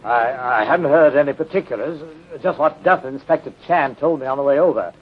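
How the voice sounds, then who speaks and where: An actor playing an agitated, genteel gentleman in a 1930s radio drama, halting and exclamatory in his speech. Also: mid-range voice, lightly acted. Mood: distressed. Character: agitated, genteel British gentleman, bereaved nephew. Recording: muffled, very noisy 1930s radio recording.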